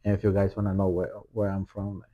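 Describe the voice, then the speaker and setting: A man from El Salvador with a thick Salvadoran accent, co-hosting a casual podcast chat in a playful, joking way, halting and restarting as he talks. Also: low voice, completely flat delivery, muffled recording. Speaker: podcast co-host.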